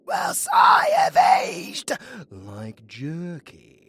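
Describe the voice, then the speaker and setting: A man's voice: fairly high pitch, huge pitch swings, sharp tone, echoey room, shouting, exaggerated voice. A British man playing a sardonic, flirtatious undead necromancer villain in a theatrical, intimate audio roleplay. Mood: indignant, strained. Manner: theatrical, sarcastic, swearing, drawn-out delivery. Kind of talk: intimate audio roleplay acting, addressed to the listener.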